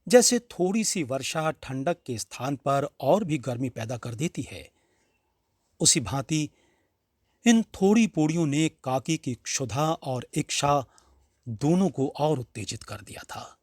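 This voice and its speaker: A man narrating a literary story in a measured, expressive, dramatic reading style. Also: a little high, no room sound, studio quality.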